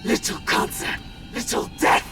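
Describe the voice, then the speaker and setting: A man voicing a cruel, accusing spirit in a video game nightmare, goading and hissing. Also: lightly acted. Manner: slow, cruel, goading, swinging from hiss to shriek. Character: menacing, accusing tormenting spirit in a nightmare vision. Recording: echoing, distant, loud music behind.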